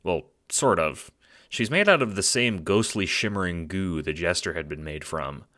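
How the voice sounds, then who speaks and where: Deep, crisp-voiced male narrator. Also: animated, moderate pace, bright, forceful tone. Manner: dramatic storytelling. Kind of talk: second-person audiobook narration. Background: music.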